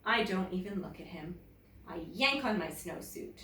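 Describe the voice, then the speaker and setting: A woman teacher reading a picture book aloud to children in an expressive, deliberate, animated way. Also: lowish voice. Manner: expressive, deliberate, addressing young listeners. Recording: roomy, echoey, distant mic, faint noise.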